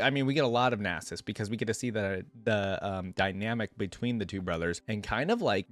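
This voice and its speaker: A man reading stories aloud and reacting casually as a video host, expressive and informal. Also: fairly low pitch, dry recording. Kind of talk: reading lore aloud with casual off-the-cuff commentary.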